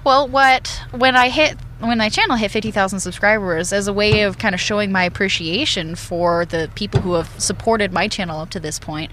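A woman's voice: medium pitch, a little fast, hardly any pauses, fairly bright tone, poor audio. Woman, podcast guest, enthusiastic, filler words, faint outdoor noise. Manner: chatty, enthusiastic, many fillers. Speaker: guest YouTuber. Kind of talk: casual podcast chat. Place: outdoors at a shooting range.

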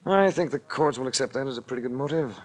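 An American actor playing a cool, wry investigator in a 1940s radio crime drama, with an unflappable, dryly sardonic manner. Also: male voice, mid-range voice, fast talker, husky, natural voice. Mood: coolly accusing. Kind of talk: 1940s radio detective acting. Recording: vintage 1940s radio recording.

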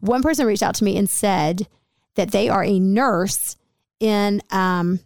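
A raspy-voiced woman in her forties, co-hosting a podcast in a chatty, rambling way full of fillers. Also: mid-range voice, unhurried, darkish tone, no echo, studio quality. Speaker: podcast co-host. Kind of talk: casual podcast chat.

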